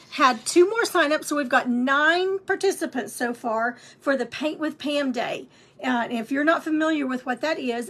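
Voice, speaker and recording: A woman with a slightly raspy voice, chatting through a live painting lesson with many ums and restarts. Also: fairly high pitch, fairly bright tone. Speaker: painting instructor and shop owner.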